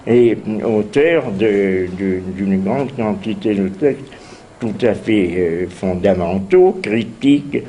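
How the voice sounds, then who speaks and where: An older man with a heavy head cold hosts a university seminar in a slow, hesitant way, full of pauses and restarts. His voice sits at an ordinary pitch, and faint background noise hangs in the room. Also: warm tone. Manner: halting, many fillers and restarts. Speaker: seminar host. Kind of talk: academic seminar introduction.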